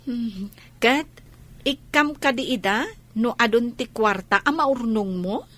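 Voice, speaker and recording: Woman acting a high-voiced, lively young cousin. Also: slow, no room sound. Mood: coaxing, upbeat. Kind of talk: comedy radio drama acting.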